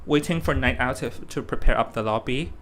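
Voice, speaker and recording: A young man from Hong Kong with a Hong Kong accent, chatting casually as an esports commentator, animated and stumbling over restarts. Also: mid-range voice, a little fast. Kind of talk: casual stream commentary chat. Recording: faint background noise.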